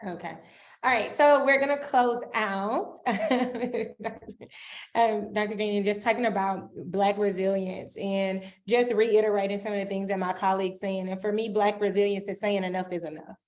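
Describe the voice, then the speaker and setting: A woman's voice: medium pitch, fairly even intonation, normal speed. A woman doctoral student giving emphatic panel remarks, often tagging points with "right?". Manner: emphatic, rhetorical, repeats "right?".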